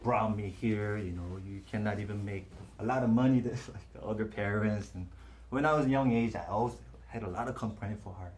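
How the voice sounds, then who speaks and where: A man with a Korean accent, a native Korean speaker, giving an earnest, halting testimony as a talk show guest. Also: lowish voice, noisy background. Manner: halting, earnest, filler-heavy. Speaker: guest, former missionary. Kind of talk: interview testimony.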